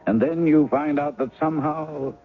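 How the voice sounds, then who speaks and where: An actor playing a rueful, blocked novelist with a wry, self-mocking manner. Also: male voice, a little high, moderate pace, close to an everyday voice. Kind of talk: 1970s radio drama acting. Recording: narrow 1970s radio broadcast, faint music.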